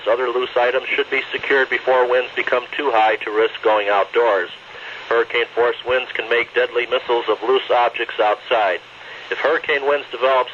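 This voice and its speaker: A man's voice: medium pitch, pushed and bright. A man reading an official weather bulletin as a radio announcer, in a formal, matter-of-fact manner. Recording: dull noisy radio broadcast recording.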